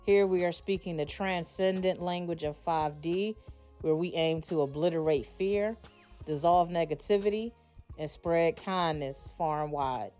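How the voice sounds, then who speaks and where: A woman's voice: fairly low pitch, measured pace, warm tone, faint music behind. A woman in her forties hosting a podcast, speaking in an earnest, uplifting way. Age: 40s. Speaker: podcast host. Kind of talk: podcast introduction and conversation.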